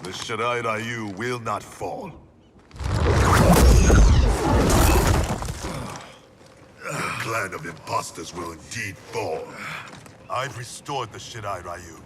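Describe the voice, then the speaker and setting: Actor as low-voiced, stern ninja clan leader. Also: male voice, very noisy, lightly acted. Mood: defiant. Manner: solemn, formal, deliberate phrasing. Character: stern honor-bound male ninja clan leader, grieving yet resolute. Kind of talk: dramatic video game voice acting. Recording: loud cinematic music behind.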